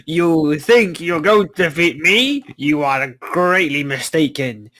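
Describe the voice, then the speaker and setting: A man voicing an arrogant, menacing god in a fantasy table read, with a grandiose, taunting and deliberate delivery. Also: a little high, expressive, sharp tone, full character voice. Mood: menacing, gloating.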